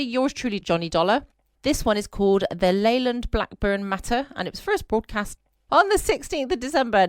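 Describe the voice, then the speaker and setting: A woman's voice: mid-range voice, no echo, clean studio sound. A woman with an English accent hosting a bedtime podcast in casual, rambling, self-mocking chat. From England. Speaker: podcast host. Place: quiet room at home.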